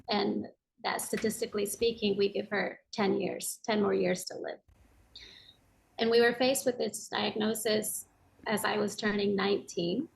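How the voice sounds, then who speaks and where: A woman's voice: medium pitch, vocal fry, rough recording. Woman w/ american accent, guest on an interview show, earnest, thoughtful, little hesitations. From Japan. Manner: earnest, reflective, with soft hesitations. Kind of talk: personal storytelling interview.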